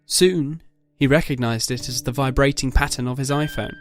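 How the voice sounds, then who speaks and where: A man's voice: mid-range voice, steady tone. Male narrator of a dramatic story, music underneath. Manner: measured, literary delivery.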